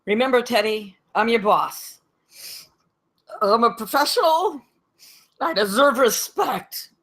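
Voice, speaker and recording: An actress playing a brash, pompous ex-military campus security captain in a comedy table read, blunt and commanding. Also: female voice, a little high, expressive, slow, fairly bright tone, exaggerated voice. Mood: solemn. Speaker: actor in a screenplay table read. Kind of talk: comedy script read aloud.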